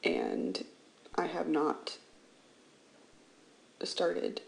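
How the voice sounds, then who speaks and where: A woman with a low voice that sounds a little scratchy, as if early in the morning. She talks casually over a drawing video as the channel's host. Her delivery rambles and pokes fun at herself. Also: wildly swooping pitch, very slow, gravelly. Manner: rambling, self-deprecating. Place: indoors, seated at a computer.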